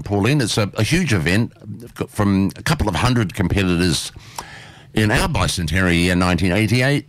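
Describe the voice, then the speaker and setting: Aussie guy on community radio, lowish voice, rambly folksy chat, super animated up and down. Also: no room sound. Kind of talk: casual chat, interviewing a studio guest. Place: radio studio. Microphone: close studio microphone.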